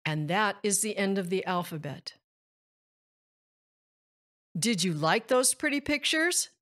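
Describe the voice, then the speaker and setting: A woman narrating a picture book for very young children, addressing them directly and pronouncing things deliberately. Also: mid-range voice, very animated, fairly bright tone. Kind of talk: children's read-aloud, addressing young listeners.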